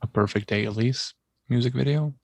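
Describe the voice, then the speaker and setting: A man co-hosting a casual podcast, tossing out references and puns in a deadpan way. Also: mid-range voice, a little fast, no echo. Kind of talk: casual talk, trading music and film references.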